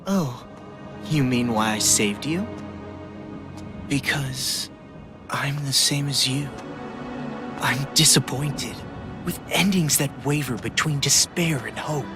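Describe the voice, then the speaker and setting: Eerie, soft-spoken young man voiced by an actor. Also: medium pitch, takes it slowly, long pauses, music in the background, close to an everyday voice. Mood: wistful, fervent. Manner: theatrical, wistful, formal wording. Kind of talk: video game cutscene acting.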